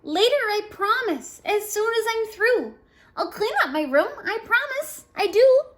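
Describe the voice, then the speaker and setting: A woman voicing an excitable, eager little girl from a storybook who loves to dress up. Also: very high-pitched, a little slow, pitched above the performer's own voice, full character voice. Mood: earnest promising. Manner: exclaiming, eager.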